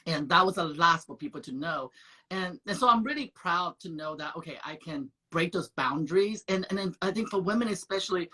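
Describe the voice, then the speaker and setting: A man's voice: high voice, animated, a little fast, pushed and bright, husky. A man from Taiwan hosting a casual interview, chatting and sharing his own stories. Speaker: host.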